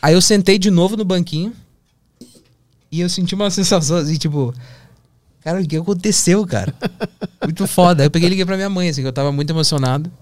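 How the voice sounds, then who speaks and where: A man's voice: high-pitched, fast talker, pauses often, no echo. A man with a Porto Alegre accent from southern Brazil, telling an anecdote casually and expressively, with slang and acted-out dialogue. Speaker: podcast guest, television producer and director.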